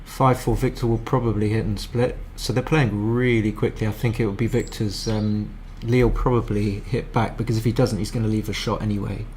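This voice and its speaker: A man's voice: lowish voice, flat delivery, a little fast, few pauses, darkish tone, slight background noise. A man giving casual live commentary on a match as a streamer, chatty and thinking aloud with frequent restarts.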